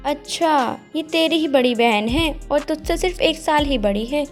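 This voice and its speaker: A woman as a high-pitched scolding mother-in-law. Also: moderate pace, lightly acted. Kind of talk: Hindi moral-story cartoon dubbing. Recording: music bed behind the voice.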